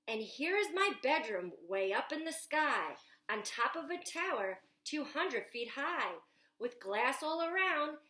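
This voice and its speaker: An imaginative young boy proudly leads a tour of his invented house, as a woman reads him aloud. The storybook rhymes bounce along slowly, high and swooping. The sound rings in an echoey room. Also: sing-song, sharp tone, slight background noise, exaggerated voice. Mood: proud, excited. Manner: bouncy rhyming read-aloud, stretched words.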